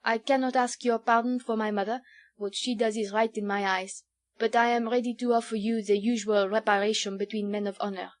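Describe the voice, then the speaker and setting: A woman's voice: on the high side, flat delivery, a little fast, close to an everyday voice. A woman voicing a proud, hot-tempered young French vicomte in an audiobook, earnest and formal, with a French accent. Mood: stiff, proud. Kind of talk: storybook character voice.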